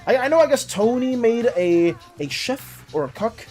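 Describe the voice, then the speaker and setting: A streamer chatting casually with viewers in an animated, rambling way and reacting to chat as it comes in. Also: mid-range voice, moderate pace, faint music behind. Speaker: art and game streamer.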